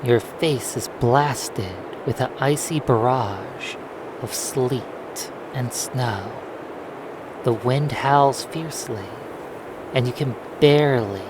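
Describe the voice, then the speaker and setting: A narrator softly telling a sleep hypnosis roleplay story in a breathy voice, deliberately, with long pauses between phrases. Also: medium pitch, noisy background. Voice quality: breathy, soft.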